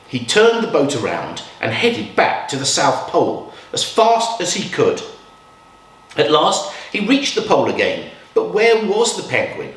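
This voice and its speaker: A man reads a children's picture book aloud. His delivery is slow and very animated, with expressive swings and dramatic pauses. The recording is echoey, as in a live room. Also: medium pitch. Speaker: children's storytime reader. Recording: roomy echo, distant from mic.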